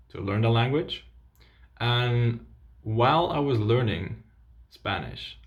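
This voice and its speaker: Man, native Dutch speaker, language coach, young adult, upbeat tips, slightly low voice. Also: creaky. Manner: upbeat, list-like tips addressed to viewers. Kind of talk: scripted video lesson.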